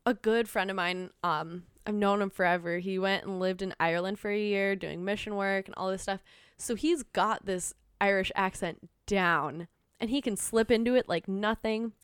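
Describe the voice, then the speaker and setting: Smooth-voiced Minnesotan young woman chatting. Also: medium pitch, moderate pace, no echo, clean studio sound. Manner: animated storytelling, acts out quotes, laughs often. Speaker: podcast guest. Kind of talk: casual chat.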